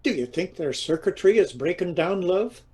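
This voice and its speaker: A man's voice: high-pitched, animated, moderate pace, a little mellow, slightly rough. A man playing a wry, teasing husband of an aging diva in a comedic audio drama.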